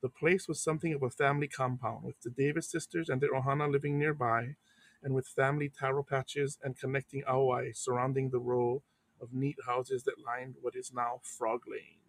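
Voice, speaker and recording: Unhurried man reading a life story. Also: medium pitch, level tone, rough recording. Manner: unhurried, pauses to explain Hawaiian words. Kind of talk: reading a biography aloud with casual asides.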